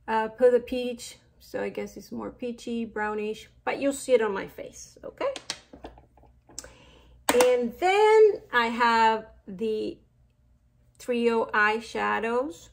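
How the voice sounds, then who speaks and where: A woman's voice: on the high side, vocal fry, slight background noise. A middle-aged woman from Mexico with a Mexican accent, chatting casually as she reviews makeup products. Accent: Mexican Spanish. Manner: chatty, slow and unhurried with fillers and trailing asides. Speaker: beauty vlogger.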